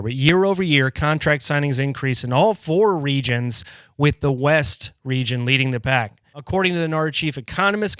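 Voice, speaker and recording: A man in a slightly higher register gives a plain-spoken, explanatory rundown of the housing market. His voice is smooth and resonant. The recording is dry, with no room sound. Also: a bit bright, dry recording. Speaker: real estate podcast host. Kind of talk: casual market update.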